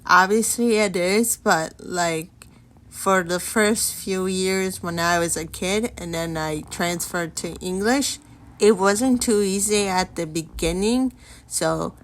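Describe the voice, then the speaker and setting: Young woman, twenties, from mexico, podcast host, unhurried, earnest, pauses and restarts. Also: fairly low pitch, fairly bright tone. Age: 20s. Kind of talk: personal storytelling podcast.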